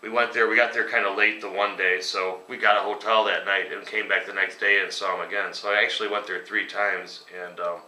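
Low-voiced man, rambling in an echoey room. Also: level tone, brisk, hardly any pauses, pushed and bright. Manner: casual, rambling, many ums, chuckles at grim stories. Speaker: metal band singer, interview guest. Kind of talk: relaxed conversational interview answers. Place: dining room at home, indoors. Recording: echoey room, voice a bit distant.

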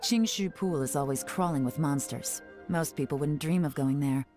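A composed female spymaster from a game, played by a woman. Her voice sits low and steady, and every order comes out dry and unhurried. Music runs behind her. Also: natural voice. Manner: calm, dry and commanding.

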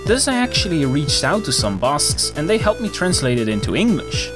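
A male host whose native language is Dutch reads a scripted voice-over with eager energy. His pitch swings widely, and each new phrase starts on a bright lift. Soft music plays quietly behind him. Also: sing-song, brisk. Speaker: YouTube channel host.

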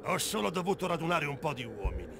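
A man playing a rugged, proud warrior king with a gravelly voice in an Italian video game dub. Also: a little high, sing-song, fast, heavy background noise. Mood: proud, hearty.

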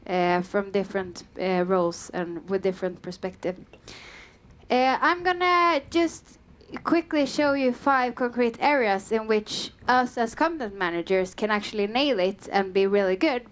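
A young woman with a southern Swedish accent giving a casual meetup talk on a headset mic, chatty and full of um and uh fillers. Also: medium pitch. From Sweden. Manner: chatty, frequent um and uh fillers, light self-deprecating humor. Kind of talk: conference presentation. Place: dim meeting room with a small audience.

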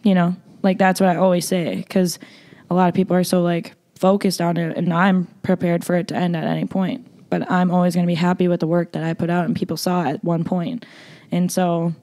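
A young woman talks in a loud, blunt, casual way, filling her sentences with "like." Her voice sits a little low for a woman. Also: flat delivery, brisk. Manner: loud, blunt, frequent "like" fillers. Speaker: podcast guest, makeup artist. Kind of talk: casual interview chat.

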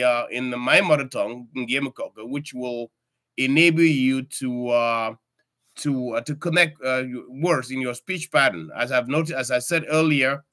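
An older man teaches a grammar lesson from his home study, talking into a webcam at his desk. He speaks in a halting way, full of "uh"s and restarts, and keeps calling his viewers good friends. His pitch sits in the middle range for a man. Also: a bit bright. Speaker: language tutor. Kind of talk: language lesson to camera.

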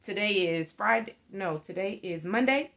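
A woman's voice: medium pitch, normal speed, fairly bright tone. A woman in her thirties giving an earnest motivational talk as a guest on a live video call from her living room. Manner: earnest, gestures while talking, laughs often. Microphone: webcam on a video call.

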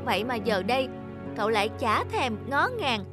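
A woman's voice: a little high, sing-song, normal speed, music in the background. A woman voicing a hurt, reproachful talking children's shoe in a Vietnamese cartoon, plaintive and emphatic.

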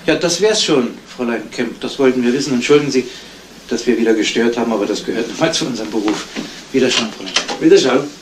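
Male actor as dry police detective, politely persistent, mid-pitched, retro radio crime play with faint noise. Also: quick pace, close to an everyday voice. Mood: matter-of-fact. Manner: matter-of-fact, probing questions. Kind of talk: 1970s German radio crime drama acting.

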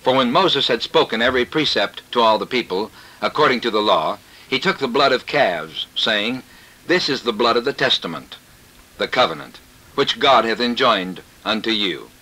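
A man preaching a scripted sermon in a formal, declarative manner, reading scripture and citations in measured phrases, on an old cassette tape recording. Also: medium pitch, animated, pushed and bright, low-quality recording.

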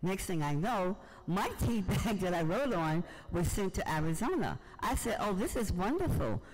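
A man's voice: sits high, very animated, moderate pace, slight background noise. An older man from Harlem, telling personal stories unscripted as an audience member. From Harlem, New York, United States. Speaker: audience member, writer and poet. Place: large museum event hall, indoors. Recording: reverberant hall.

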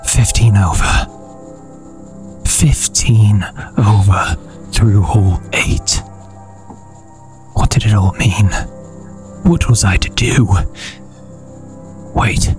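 A man's voice: on the low side, extremely slow, pauses often, a little mellow, soft background music. A man vlogging a disc golf round in a playful, jokey manner, mixing casual chat with mock-dramatic narration.

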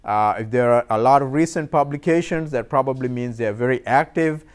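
A middle-aged man from Sri Lanka lectures to an audience in a room, his voice smooth and resonant at an ordinary pitch. He talks in a measured way and often stops on "uh" and "um" or repeats a word while he finds the next one. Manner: measured, hesitant with many fillers and repeated words. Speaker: university professor giving a talk. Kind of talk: informal lecture presentation. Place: lecture room in a cultural center.

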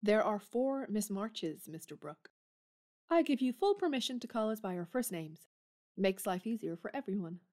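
A woman's voice: medium pitch, big pitch swings, normal speed, darkish tone, natural voice. An actress playing a bold, blunt young woman in an audio drama, speaking forthrightly.

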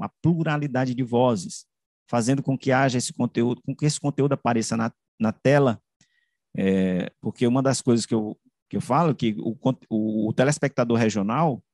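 A man's voice: mid-range voice, a little mellow, no room sound, clean studio sound. A middle-aged man gives a conversational academic presentation over a video call, often tagging his phrases with little checking questions. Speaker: researcher and author giving a presentation. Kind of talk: academic slide presentation, explanatory talk. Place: indoor room, on a video call. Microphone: computer mic on a video call.

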